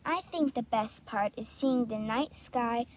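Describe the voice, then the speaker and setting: A female co-host with a high voice chatting casually and reading a children's storybook aloud in a deliberate way. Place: outdoors by a marshy trail. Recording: outdoor recording with clear insect and ambient noise.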